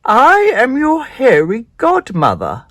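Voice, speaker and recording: Grand, high-pitched spider godmother. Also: huge pitch swings, no echo, studio quality, heavily acted. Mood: commanding, grand. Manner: slow, drawn-out, loud pronouncements. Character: enormous hairy spider fairy godmother, commanding and grand.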